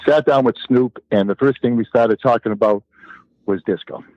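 A man's voice: mid-range voice, moderate pace, dry recording. Man telling stories, dry muffled sound. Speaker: DJ and former record promoter, interview guest. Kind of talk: casual anecdotal interview conversation.

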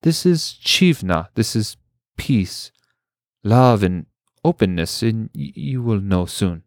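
A man voicing a gentle male meditation teacher who speaks smoothly and calmly. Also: fairly low pitch, dry recording, studio quality. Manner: smooth, calm, slow.

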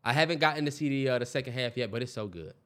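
A man's voice: medium pitch, very fast, fairly bright tone. An American man co-hosting a podcast, talking casually in informal slang. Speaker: podcast co-host. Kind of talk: casual chat.

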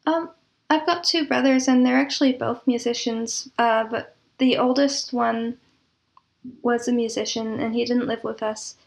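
A woman with a fairly high voice talks as a guest in a casual interview. She reminisces in a thoughtful, measured way, pausing as she puts her answers together. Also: fairly even intonation, vocal fry. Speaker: interview guest, composer and audio drama producer.

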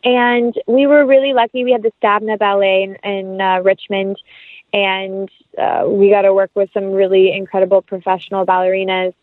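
Over a narrow, phone-like connection with a dry sound, a woman talks casually as an interview guest. Her voice is mid-pitched, and she is chatty and enthusiastic. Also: flat delivery, moderate pace, dry recording.

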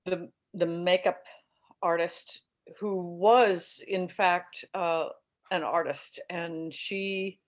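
An older actress answering interview questions in a relaxed, agreeable way, laughing easily. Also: female voice, lowish voice, takes it slowly. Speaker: actress guest. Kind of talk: casual interview chat.

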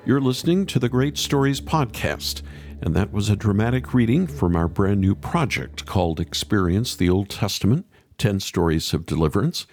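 A man hosting a radio interview podcast, speaking in a measured way with emphatic pauses. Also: on the low side, expressive, darkish tone, slightly rough. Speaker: podcast host.

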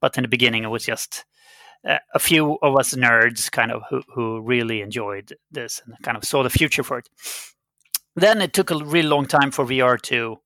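A middle-aged man from Sweden, a native Swedish speaker with a Swedish accent, answering interview questions conversationally in a halting, hesitant way. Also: mid-range voice, bright, forceful tone, no echo. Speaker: interview guest, game company CEO.

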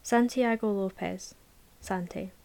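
A woman's voice: mid-range voice, fairly even intonation, takes it slowly, creaky, mellow. A woman voicing a wry, teasing young man at a student party. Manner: wry, joking, mock-offended.

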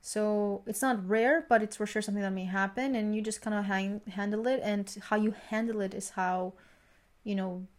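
A woman's voice: medium pitch, fairly even intonation, moderate pace. A young woman with a slight Greek accent chats casually as a podcast co-host, explaining things in a rambling, reflective way. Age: young adult. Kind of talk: casual conversation, sharing work experiences.

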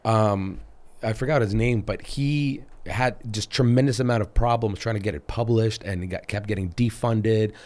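Raspy-voiced man, rambling podcast chat. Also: lowish voice, moderate pace, a little mellow. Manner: rambling, frequent restarts and fillers. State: raspy voice after illness. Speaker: podcast host. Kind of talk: casual chat.

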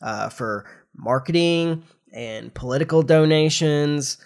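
A man's voice: a little high, slow pace, gravelly. Nasal man chatting on a podcast. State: congested after flu. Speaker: podcast host. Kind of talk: casual chat, explaining news informally.

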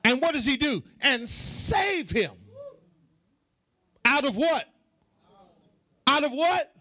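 Male preacher, very high voice, slow emphatic delivery with repeated call-and-response lines, swelling toward half-sung shouts. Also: big pitch swings, no room sound. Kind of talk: fervent sermon to a congregation.

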